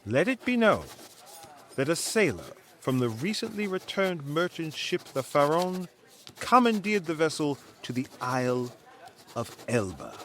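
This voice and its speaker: Slow, swooping, sly male schemer. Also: on the high side, big pitch swings, a bit bright. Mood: coolly scheming. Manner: smooth-talking, deliberate, manipulative. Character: sly, scheming, condescending man plotting a rival's downfall. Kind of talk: dramatic audio fiction acting.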